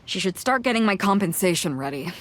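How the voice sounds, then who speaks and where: A woman's voice: mid-range voice, animated, brisk, natural voice. Woman playing a bold, commanding sea captain. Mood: matter-of-fact. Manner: blunt, commanding. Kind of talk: video game voice acting.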